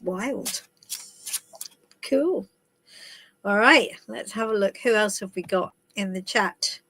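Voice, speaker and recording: Woman with a cold, slow rambly tarot chat, bright voice, lots of ums. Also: medium pitch, slight background noise. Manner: chatty and rambling, with many ums and restarts. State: has a head cold, stuffy and coughing. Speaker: tarot reader hosting a live stream. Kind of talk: casual live-stream chat and card reading. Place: in the woods while travelling.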